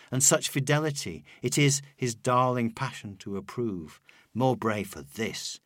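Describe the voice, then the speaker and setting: A man narrating a scripted literary essay in a measured, reflective, instructive manner, quoting verse as they go. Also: lowish voice, no room sound, clean studio sound. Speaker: author reading their own audiobook.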